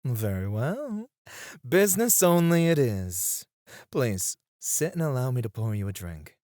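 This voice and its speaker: A man playing a suave, flirtatious male escort in an ASMR roleplay, teasing and courteous, with drawn-out phrasing. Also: medium pitch, very animated, darkish tone, dry recording, clean studio sound. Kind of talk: ASMR roleplay, addressed to one listener.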